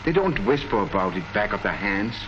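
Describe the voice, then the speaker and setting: An earnest army colonel lectures with rhetorical force in a low voice. It has the manner of a 1940s public information film. The old soundtrack is dull, with heavy noise. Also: male voice, big pitch swings, brisk. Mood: earnest, explanatory. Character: earnest male army colonel presenting public health facts. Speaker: presenter.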